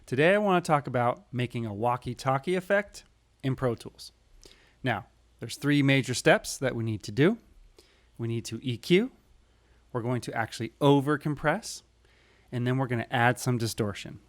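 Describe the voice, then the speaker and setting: A man with a medium-pitched voice presents a tutorial. He talks informally and casually, walking the listener through each step. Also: animated, normal speed. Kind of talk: casual screen tutorial narration.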